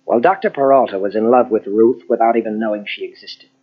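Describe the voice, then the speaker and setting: An actor playing a grave, earnest psychologist in an old-style radio mystery, husky and deliberate, with dramatic pauses. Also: male voice, fairly low pitch. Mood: grave, urgent. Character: earnest, grave male psychologist confronting a killer. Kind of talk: 1940s radio mystery acting. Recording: dull lo-fi old radio tape.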